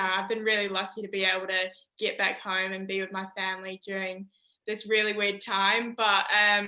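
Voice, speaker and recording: A young woman, a university golfer answering interview questions casually and pausing often on "um". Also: medium pitch, roomy sound, poor audio. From New Zealand. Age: young adult. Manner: relaxed, many "um" pauses. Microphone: video call.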